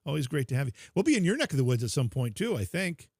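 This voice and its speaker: A man's voice: a little high, sing-song, fast, a little mellow, breathy edge, dry recording, clean studio sound. A man co-hosting a casual podcast livestream, teasing his partner and reading out thank-yous to supporters in a chatty way. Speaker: podcast co-host.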